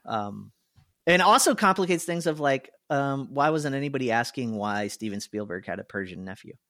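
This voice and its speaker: A man's voice: medium pitch, animated, normal speed, pushed and bright, no echo, studio quality. Chuckling man telling a story on a podcast. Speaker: podcast co-host. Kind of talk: casual storytelling.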